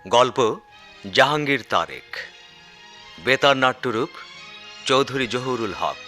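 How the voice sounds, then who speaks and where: A man's voice: medium pitch, lively intonation, unhurried. A man formally announcing the credits of a radio play. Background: loud music.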